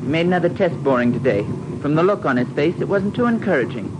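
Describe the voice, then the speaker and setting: An actress playing a brassy, scheming, sharp-tongued woman in a 1950s radio drama, with a sardonic, pushy delivery. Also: low voice, sing-song, fast. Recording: noisy old radio broadcast.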